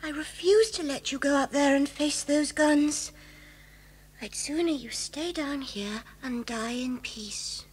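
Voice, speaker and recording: A protective mother fox in a children's story tape. Her voice is high and she speaks slowly, firm with worry for her young. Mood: stern, anxious. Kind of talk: children's audio story dramatisation.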